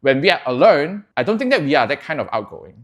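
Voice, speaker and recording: A man's voice: on the high side, big pitch swings, quick pace, muffled recording. A young man with a Malaysian accent, a native Cantonese speaker, chatting frankly as a podcast guest and laughing often. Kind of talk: casual chat.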